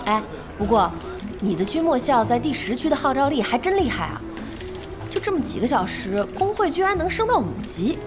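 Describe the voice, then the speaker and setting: An eager, naive young boss of a gaming team in an audio drama. Her high, girlish voice swoops and exclaims, with music clearly behind it. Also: sing-song, brisk. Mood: curious, chatty. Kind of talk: Chinese audio drama acting.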